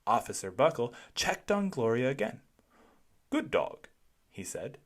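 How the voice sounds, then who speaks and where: A read-aloud narrator telling a children's storybook expressively, with deliberate, dramatic phrasing. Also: mid-range voice, sing-song.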